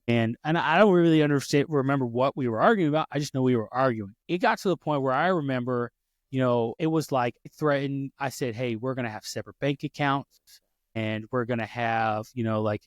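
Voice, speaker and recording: A man in his thirties hosts a podcast in a casual, conversational way, drifting into personal stories and stopping to correct himself. His voice sits at an ordinary middle pitch. It is recorded close and dry, with no room sound. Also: no echo. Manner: storytelling, frequent restarts and self-corrections. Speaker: podcast host.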